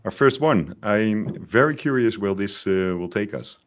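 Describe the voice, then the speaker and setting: A man's voice: lowish voice, expressive, a little slow. A man co-hosting a podcast who talks in a hesitant, searching way, with many fillers and restarts. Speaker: podcast co-host. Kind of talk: casual conversation.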